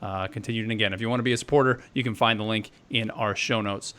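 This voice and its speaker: A man's voice: lowish voice, steady tone, fast talker, a bit bright. A man hosting a live gaming podcast, talking in an upbeat, chatty way. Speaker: podcast host.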